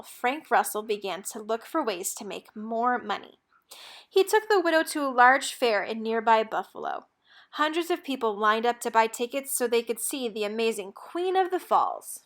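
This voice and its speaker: High-voiced woman reading a storybook aloud. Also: fairly bright tone. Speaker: storybook reader. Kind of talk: read-aloud narration of a children's picture book.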